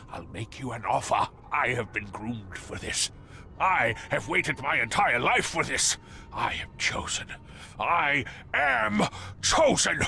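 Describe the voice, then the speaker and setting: An actor playing a haughty, zealous male cultist in a video game, speaking formally and theatrically, often sinking into a breathy murmur. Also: medium pitch, bright, forceful tone, slight background noise, full character voice. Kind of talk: dramatic video game cutscene acting.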